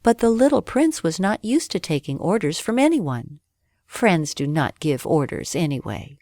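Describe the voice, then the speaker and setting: A woman narrating a scripted bedtime story for children with expressive, careful phrasing. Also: fairly low pitch, normal speed, no echo, studio quality.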